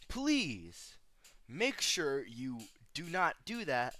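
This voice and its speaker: Bright, forceful man giving flippant commentary. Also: a little high, huge pitch swings, drawn-out words. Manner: sarcastic, flippant, slangy. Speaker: video host reacting to analog horror. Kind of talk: casual, joking commentary with asides.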